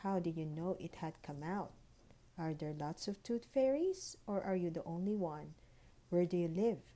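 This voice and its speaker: A woman's voice: on the low side, expressive, moderate pace, warm tone, natural voice. A woman voicing a curious, polite and eager little girl in a children's storybook. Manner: polite, questioning. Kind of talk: children's storybook read-aloud.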